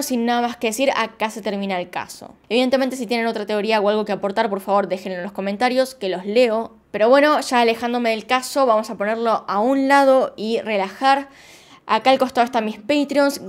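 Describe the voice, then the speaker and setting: Argentine woman narrating true crime. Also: a little high. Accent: Argentine Spanish. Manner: earnest, direct, addresses viewers. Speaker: YouTube host.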